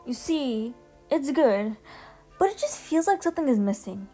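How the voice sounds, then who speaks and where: A young woman with a fairly high but very soft-edged, mellow voice gives casual commentary. She talks in an informal, slangy way and leans on her opinions with emphasis. Music plays under her voice. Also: husky. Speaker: video creator ranking game trailers.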